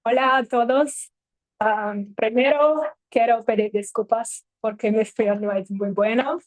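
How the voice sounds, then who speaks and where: A Brazilian woman, a lawyer and native Portuguese speaker, giving a halting, measured academic talk at a panel table microphone. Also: on the high side, steady tone, no room sound, poor audio. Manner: measured, halting, many pauses. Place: university event hall.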